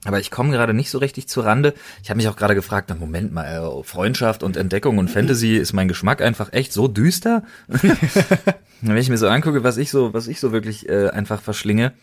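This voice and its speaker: A man with a fairly low voice talks casually as a podcast co-host. He sounds enthusiastic, leaning hard on emphatic, slangy words as he chats. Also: brisk.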